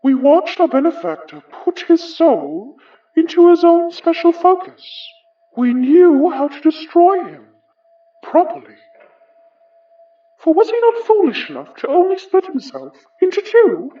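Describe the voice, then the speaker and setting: An actor playing the summoned remnant of an English gentleman, wry, wistful and formal, with a British accent, in an audio horror drama. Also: very high-pitched, sing-song, distant mic, dull audio, exaggerated voice. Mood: brooding, reminiscing. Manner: measured, formal, musing, ornate phrasing.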